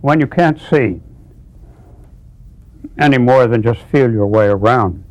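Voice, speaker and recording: An eighty-one-year-old man, an author, speaking reflectively in an interview, haltingly, phrase by phrase. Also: medium pitch, a little mellow, slight background noise, low-quality recording.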